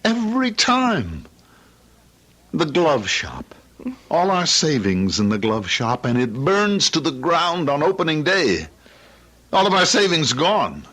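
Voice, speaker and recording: A luckless young husband in a vintage radio play. His husky voice is bright and forceful, and it swings widely in pitch. He is theatrical, emphatic and easily worked up. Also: wildly swooping pitch, slow, full character voice. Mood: bitter, exasperated. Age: young adult. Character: frustrated, luckless young husband, a struggling store clerk. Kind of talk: old-time radio drama acting. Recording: vintage radio drama recording.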